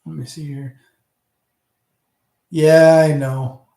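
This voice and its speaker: A man's voice: a little high, little pitch movement, takes it slowly, gravelly, warm tone. A middle-aged man with a Southern Ontario Canadian accent, hosting a casual livestream chat, rambling and answering his viewers. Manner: rambling, talks back to chat, trails off. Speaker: channel host. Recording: roomy echo.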